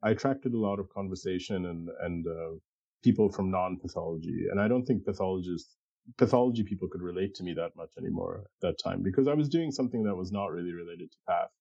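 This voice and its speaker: A middle-aged man, a pathologist, talking reflectively and casually as an interview guest, haltingly repeating words as he thinks aloud. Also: low-pitched, creaky, dark tone, slightly rough, dry recording, dull audio.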